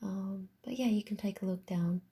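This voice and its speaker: A woman ASMR creator giving a casual, quiet announcement in a soft, breathy voice with a tentative, low-key manner. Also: normal speed.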